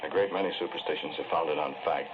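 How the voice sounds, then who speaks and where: An actor playing a sombre, earnest confessed killer in a 1940s radio drama, speaking in a measured, hesitant, brooding way. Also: male voice, very deep voice. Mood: sombre, reflective. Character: sombre, earnest man confessing to killing the woman he loved. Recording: noisy, muffled 1940s radio recording.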